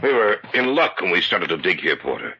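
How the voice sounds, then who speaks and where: A lo-fi old radio drama recording of a brisk archaeologist in charge of a dig. He is decisive and authoritative, with very varied intonation. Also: deep voice, big pitch swings, creaky, background noise, heavily acted. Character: brisk, commanding male archaeologist leading a dig.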